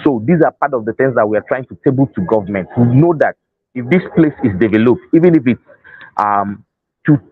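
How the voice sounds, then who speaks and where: A man's voice: medium pitch, lively intonation, a little fast, husky, no room sound, phone-quality sound. A man with a Nigerian accent explaining things as an interview guest, unscripted, often repeating and rephrasing his phrases. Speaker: interview guest, festival organiser.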